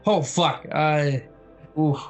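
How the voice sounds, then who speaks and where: A man's voice: a little high, fairly even intonation, drawn-out words. Casual man playing a tabletop game, music behind. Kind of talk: casual chat and in-character banter.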